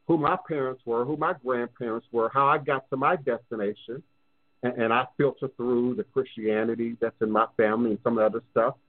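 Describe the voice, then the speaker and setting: A man with a New Orleans accent holds forth on a live call-in show. He talks at a middling pitch with emphatic repetition, and his voice comes through thin and boxy, like a phone line. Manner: emphatic, repeats phrases for stress. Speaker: radio show host and voodoo priest. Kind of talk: live call-in radio talk.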